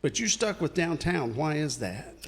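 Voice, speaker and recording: A man's voice: fairly high pitch, sing-song, moderate pace, vocal fry. A man co-hosting a local radio talk show, asking guests friendly questions. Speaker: radio co-host. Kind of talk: casual radio interview.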